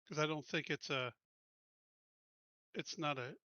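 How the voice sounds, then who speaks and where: A man playing in a tabletop game, talking casually and haltingly, often trailing off. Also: fairly high pitch, sharp tone, no echo, dull audio. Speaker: player.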